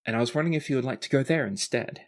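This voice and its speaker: A man's voice: medium pitch, very fast. A man narrating a tabletop roleplaying game as game master, improvising scenes in a conversational way.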